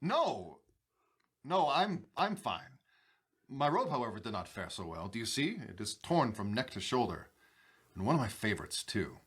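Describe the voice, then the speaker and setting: An actor playing an irritable, imperious, proud sultan in a radio drama, with a pompous, blustering manner. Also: male voice, medium pitch, sing-song, moderate pace, a bit bright, husky.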